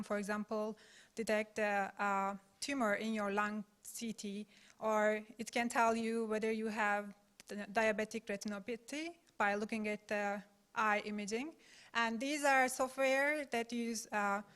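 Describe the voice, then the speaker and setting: A woman from Turkey, a postdoctoral researcher, giving a conference talk in a measured, careful way with frequent pauses. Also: a little high, fairly bright tone. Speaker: invited speaker, postdoctoral researcher. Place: meetup room.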